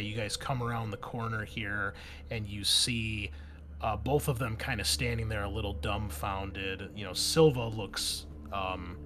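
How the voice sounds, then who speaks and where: A man's voice: fairly low pitch, fairly bright tone. A man with an American accent working as a game master, describing scenes in a conversational way and chatting casually with players. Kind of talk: improvised tabletop game narration with casual table talk.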